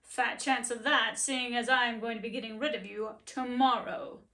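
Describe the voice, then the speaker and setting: A woman's voice: a little high, fairly even intonation, moderate pace, sharp tone. A woman voicing a blunt, dismissive farm master in a storybook.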